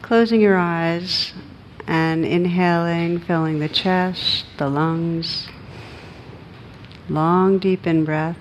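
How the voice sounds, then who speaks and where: A low-voiced woman leads a guided meditation with very little rise and fall in her pitch. She gives gentle, unhurried instructions and leaves long pauses between phrases. A steady background noise with a low rumble sits behind her voice. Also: rough recording. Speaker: meditation teacher.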